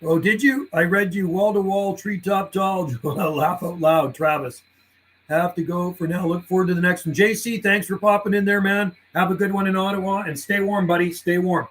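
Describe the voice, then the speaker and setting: A man's voice: sits high, fairly even intonation, normal speed, fairly bright tone, roomy sound, poor audio. A man chatting casually and slangily on a livestream as a radio operator, mixing in call signs and phonetic codes. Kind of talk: casual livestream chat mixed with radio calling. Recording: clear background noise.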